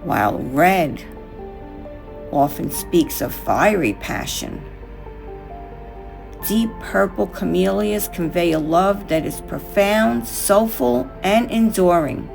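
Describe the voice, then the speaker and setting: A woman hosting a craft tutorial, narrating from a script in a measured, reflective way, in short phrases. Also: lowish voice, expressive, gravelly, darkish tone, faint music behind.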